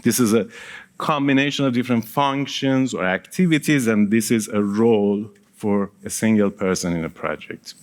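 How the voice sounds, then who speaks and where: A man's voice: lowish voice, moderate pace. A man whose first language is Persian, giving a conference talk in a casual, engaging way and polling the audience with questions. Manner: engaging, quizzes the audience and counts hands; casual, with small laughs and asides. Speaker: conference speaker. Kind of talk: conference presentation.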